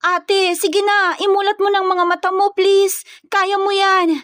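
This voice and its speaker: An anxious, caring kid sister, acted by a female storyteller in an audio romance drama. She speaks in a high, excitable, pleading voice, recorded dry. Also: measured pace, no room sound. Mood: pleading, relieved.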